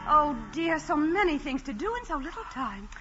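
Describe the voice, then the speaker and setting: A woman's voice: high voice, sing-song, moderate pace, music in the background. An actress playing a fussy, genteel, mildly scolding mother in a 1940s radio play. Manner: fluttery, genteel. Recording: dull old radio recording.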